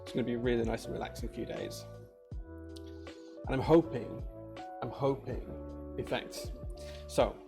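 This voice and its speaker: A man's voice: mid-range voice, wildly swooping pitch, normal speed, creaky, dark tone, soft background music. A married man with a Yorkshire accent, chatting casually and rambling with viewers during a livestream from his workshop. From Yorkshire, England. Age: adult, married. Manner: chatty, rambling, many fillers and restarts. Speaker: maker livestreamer.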